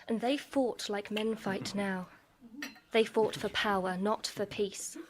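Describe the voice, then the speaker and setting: A woman acting a teasing barmaid who tells Greek myths in a measured, knowing way, in a radio play. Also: mid-range voice, natural voice. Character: teasing barmaid turned storyteller of Greek myth. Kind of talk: radio play storytelling on stage. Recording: noisy live stage recording.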